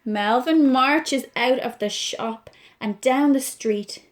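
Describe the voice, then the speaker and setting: A woman with an Irish accent, a children's librarian reading a picture book aloud, gently asking her young listeners questions. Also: high voice, takes it slowly.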